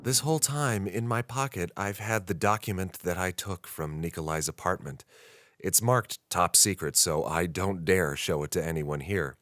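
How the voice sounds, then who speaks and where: A man's voice: low voice, normal speed, fairly bright tone. A man with an American accent playing an earnest, frustrated 1960s reporter who narrates a brooding audio diary in a radio drama. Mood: matter-of-fact, frustrated. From United States.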